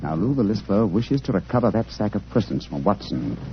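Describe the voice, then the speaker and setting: Shrewd English detective, fast, clipped, commanding, muffled vintage radio sound. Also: male voice, fairly low pitch, sing-song. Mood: brisk, authoritative. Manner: clipped, authoritative, didactic. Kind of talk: 1940s radio acting. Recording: noisy, muffled 1940s radio recording.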